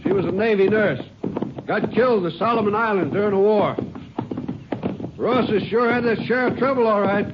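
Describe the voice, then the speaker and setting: An actor as a plain-spoken small-town sheriff. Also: male voice, high-pitched, normal speed, pauses often, natural voice. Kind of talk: 1950s radio drama acting. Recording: dull old broadcast with hiss.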